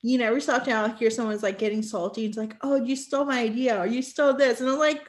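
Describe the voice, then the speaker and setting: A woman's voice: on the high side, steady tone, quick pace. A woman hosting a podcast, chatting casually in a bubbly, rambling way full of "like" and "you know". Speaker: podcast host. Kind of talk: casual chat.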